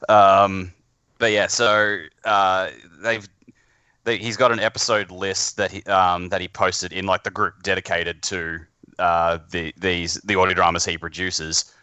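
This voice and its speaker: A man with a fairly low voice and a bright, forward tone chats informally. He talks haltingly, in loose conversational bursts. The sound is very dry. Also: flat delivery, clear, resonant tone, dry recording. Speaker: podcast guest and amateur voice actor. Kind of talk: casual chat.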